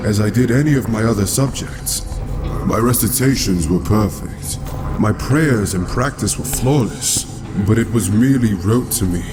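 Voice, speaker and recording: A man's voice: lowish voice, close to an everyday voice. A man playing a grave, rational doctor and warrior, speaking formally and solemnly in a comic book dub. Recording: music behind voice.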